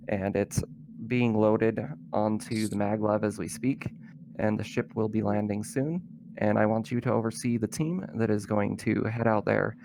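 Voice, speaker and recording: A man's voice: on the low side, lightly acted. Man playing a short, stern outpost mayor woman. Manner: brisk, formal, giving orders.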